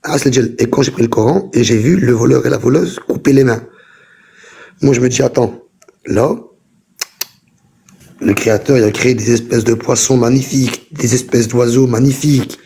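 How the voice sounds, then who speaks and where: A man hosting a live online debate, talking insistently and repeating himself to keep the floor. His voice is dark and mellow, with a husky edge. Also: mid-range voice, moderate pace. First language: French. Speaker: host. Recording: TikTok live call audio.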